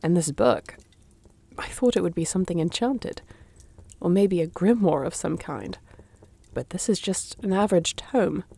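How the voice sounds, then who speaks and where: A woman's voice: lowish voice, lively intonation, moderate pace, gravelly, mellow, breathy edge, exaggerated voice. A woman playing a wry, shrewd thief for hire in a fantasy audio drama, with a dry, teasing, understated delivery. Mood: probing. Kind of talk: fantasy audio roleplay acting.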